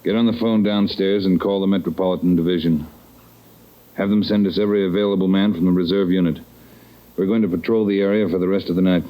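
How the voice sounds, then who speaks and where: Actor as a deep, mellow, terse police chief. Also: male voice, fairly even intonation, fast talker, lightly acted, low-quality recording. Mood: urgent, commanding. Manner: terse, authoritative. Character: gruff, decisive male police chief giving orders. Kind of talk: 1940s radio crime drama acting. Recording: vintage 1940s radio recording.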